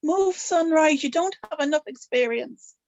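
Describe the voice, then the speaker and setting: A woman's voice: sits high, moderate pace, a little mellow. A woman playing a wary, commanding witch grandmother at a dramatic table read, composed, formal and pointed. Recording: slightly dull, lo-fi recording.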